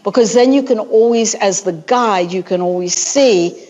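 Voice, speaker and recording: A woman's voice: mid-range voice, moderate pace. A talkative woman on a conference panel, trading walking-tour advice and sprinkling "you know" everywhere. Her voice has a slightly dull, muffled sound to it. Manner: animated, chatty, many "you know" fillers. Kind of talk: panel discussion.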